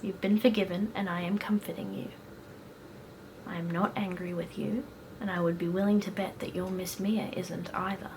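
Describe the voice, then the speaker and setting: A woman reading the lines of a gentle, caring male doctor in a soft, low, soothing tone. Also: fairly even intonation, normal speed, a little hiss or hum, close to an everyday voice, rough recording.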